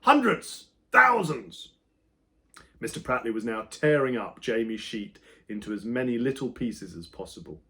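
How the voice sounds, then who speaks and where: A man reading his own children's book aloud as an expressive storyteller, with dramatic emphasis that moves between loud and hushed. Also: on the low side, a little fast.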